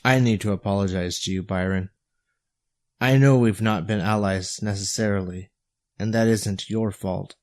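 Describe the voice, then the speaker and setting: This is a battle-worn bodyguard in an audio story, as a man acts him out. His voice is fairly low and closely recorded with no room sound. He talks in a sober, measured, earnest way, like a mentor. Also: darkish tone, no room sound, natural voice. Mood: remorseful.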